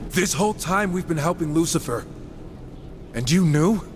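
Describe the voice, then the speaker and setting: Wry warrior horseman, slow, swinging pitch. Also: noisy background. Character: wry, blunt male supernatural horseman warrior. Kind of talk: video game cutscene acting.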